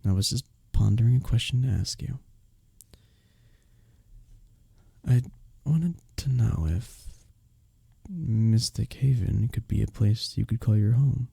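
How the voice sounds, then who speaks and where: A man playing a husky, courteous medieval village innkeeper. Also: fairly low pitch, a little slow, no echo, exaggerated voice. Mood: tender, shy. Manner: gentle, formal storybook phrasing, hesitant and tender. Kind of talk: intimate ASMR roleplay audio drama.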